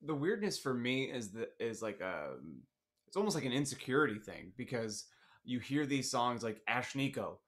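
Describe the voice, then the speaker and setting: A man's voice: medium pitch, big pitch swings, normal speed. A man chatting casually and self-deprecatingly as a podcast co-host. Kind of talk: casual banter. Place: small home studio room.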